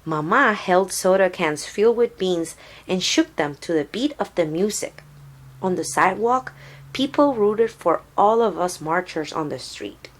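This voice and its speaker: A woman narrates a children's story at an unhurried, slow pace. Her voice sits fairly low, and she tells it expressively, like a deliberate read-aloud. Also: animated, low-quality recording. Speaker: storybook reader.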